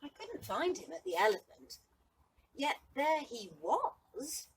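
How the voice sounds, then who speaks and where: A woman playing a bewildered, lovestruck young man in a Shakespearean monologue, speaking haltingly and with wonder. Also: sits high, big pitch swings, pitched above the performer's own voice, full character voice. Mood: dazed wonder. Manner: halting, wondering, shifting from hushed to exclaiming. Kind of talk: Shakespearean stage monologue, verse acting. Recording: distant mic with light background noise.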